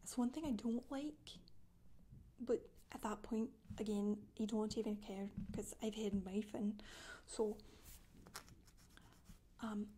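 Casting: woman as a gossipy, boastful witch for soft ASMR storytelling, breathy and mellow, often whispering. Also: mid-range voice, fairly even intonation, slow, long pauses. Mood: confiding. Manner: rambling, gossipy, casual asides. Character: Scottish witch woman confessing, matter-of-fact, chatty, boastful. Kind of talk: soft-spoken ASMR character monologue. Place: small indoor room, seen seated.

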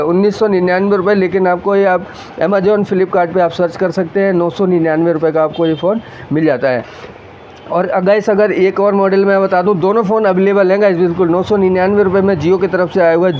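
High-pitched man demonstrating products, muffled recording. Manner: repetitive, salesman-like explaining, addressing viewers directly. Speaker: host of a phone unboxing channel. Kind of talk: casual product demonstration to camera. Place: small mobile phone shop, indoors. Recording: muffled lo-fi audio with background noise.

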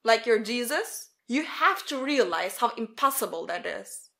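A woman's voice: a little high, very animated, a little slow, vocal fry, pushed and bright, heavily acted. Woman narrator voicing an arrogant, smirking police interrogator. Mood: arrogant, mocking. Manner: arrogant, condescending, taunting. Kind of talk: acted quote in a narrated story.

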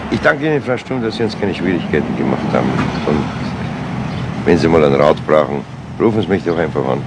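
An actor playing a composed, probing police inspector in a radio crime drama, speaking matter-of-factly. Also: male voice, very deep voice, animated, brisk. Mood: calm, firm. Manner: matter-of-fact, questioning. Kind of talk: 1970s German radio crime drama acting. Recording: noisy old radio play recording.

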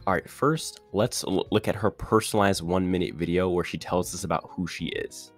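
A man's voice: sits low, steady tone, loud music behind the voice. A man giving opinionated, ranting commentary on a reality show contestant in a casual monologue. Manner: opinionated, ranting, quick with restarts.

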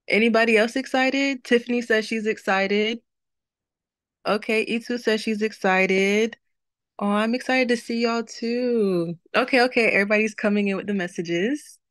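A woman's voice: medium pitch, a bit bright, dry recording. Young woman, webinar host, upbeat and chatty, laughs often, video call mic, dry close sound. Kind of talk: prayer and warm webinar welcome.

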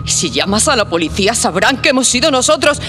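A man's voice: very high voice, very animated, quick pace, sharp tone, soft background music, exaggerated voice. A man in a radio play voicing a frightened, agitated man who refuses to get involved. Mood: frightened, refusing. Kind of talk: live radio theatre drama.

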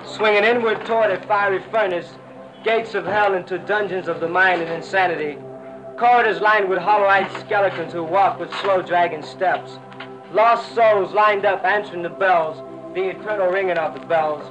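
A man's voice: high-pitched, moderate pace. A man, a jazz poet, giving a spoken-word reading of Beat poetry. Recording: dull old 1960 radio recording, music.

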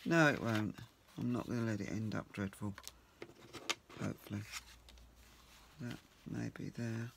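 Croaky man muttering while crafting. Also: on the low side, fairly even intonation, unhurried, long pauses, noisy background. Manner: chatty, muttering to himself while working. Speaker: crafting channel host. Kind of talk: casual craft narration. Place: home craft table.

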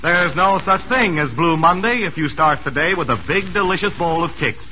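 A man's voice: a little high, normal speed, sharp tone. An American man announcing a 1940s radio commercial with polished, emphatic salesmanship. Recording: noisy vintage radio broadcast recording.

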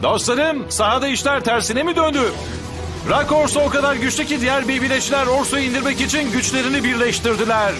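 An excitable anime match announcer, pitched extremely high. He commentates dramatically and showily over prominent music. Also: fast. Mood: amazed. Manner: dramatic, showy. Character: excitable male tournament announcer. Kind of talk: dubbed anime sports commentary. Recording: loud music behind commentary.

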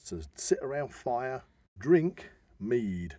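A man's voice: mid-range voice, sing-song, takes it slowly, mellow, no echo. A man co-hosting a podcast in casual chat, talking animatedly and emphatically with rambling asides. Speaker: podcast co-host. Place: podcast studio.

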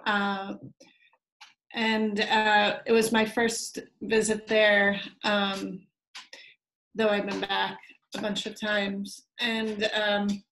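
A woman author talking softly and hesitantly about where her book came from, on a video call. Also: mid-range voice, completely flat delivery, roomy sound, a little hiss or hum, low-quality recording. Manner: slow, hesitant, soft-spoken. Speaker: author reading her work. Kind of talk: reflective talk in an online reading. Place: home room on a video call.

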